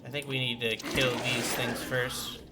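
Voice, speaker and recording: A man's voice: medium pitch, flat delivery, unhurried, very noisy. A man giving casual commentary as he plays a video game and streams it. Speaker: gaming streamer playing and commenting.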